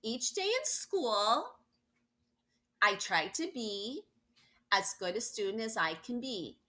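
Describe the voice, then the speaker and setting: Storybook first-grade boy, female reader, earnest, sweet, bright tone. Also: fairly high pitch, big pitch swings, takes it slowly, exaggerated voice. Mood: careful reading.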